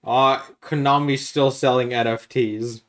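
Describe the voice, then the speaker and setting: A man's voice: medium pitch, fairly even intonation, measured pace. A man co-hosting a podcast, chatting casually and giving his opinions on video games in an informal way. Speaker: podcast co-host.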